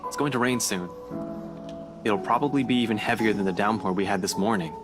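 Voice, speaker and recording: Game role: actor as a serious, protective man, lowish voice, restrained delivery, music behind. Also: a little fast, close to an everyday voice. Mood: subdued concern. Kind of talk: visual novel voice acting.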